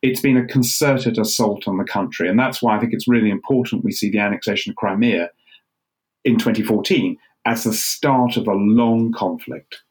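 A man guest giving a measured, emphatic podcast answer, laying out points one by one. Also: on the low side. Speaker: guest on Ukraine.

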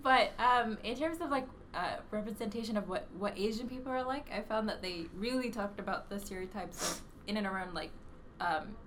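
A woman's voice: medium pitch, a little fast, fairly bright tone. Casual woman co-host, rambling, fillers, faint room hum. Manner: chatty, many fillers and restarts. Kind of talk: casual chat.

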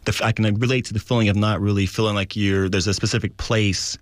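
A man's voice: on the low side, fast talker, no room sound. A man from Albuquerque, New Mexico, hosting a casual radio studio interview in an easygoing manner. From Albuquerque, New Mexico, USA. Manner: easygoing, relaxed asides with "you know" and "like". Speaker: radio host.

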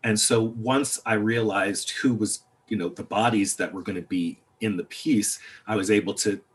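Halting, reflective man on a video call. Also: on the low side, steady tone. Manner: halting, reflective, many restarts. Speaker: playwright. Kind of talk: panel discussion over video call.

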